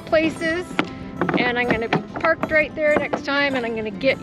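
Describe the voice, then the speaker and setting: Chatty, excitable woman vlogging outdoors. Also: high-pitched, normal speed, over loud music. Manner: chatty and excitable, laughs between phrases. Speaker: travel vlogger. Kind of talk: casual vlog chat. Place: outdoors at a national park.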